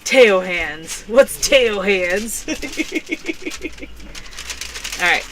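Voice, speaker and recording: A woman's voice: mid-range voice, lively intonation, slow, slight background noise. A young woman hosting a crafting stream, chatting casually and rambling with lots of fillers. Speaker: crafting channel host. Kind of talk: casual chat while crafting.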